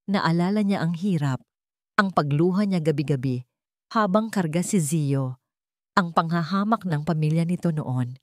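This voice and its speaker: A woman's voice: low-pitched, normal speed, no echo, clean studio sound. A woman narrating a scripted story in a dramatic style.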